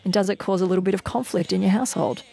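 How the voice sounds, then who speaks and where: A woman's voice: mid-range voice, very fast, vocal fry, dark tone, husky, faint music behind. A woman hosting a morning talkback radio show, chatty and upbeat as she takes listener calls. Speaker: radio host. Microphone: studio microphone.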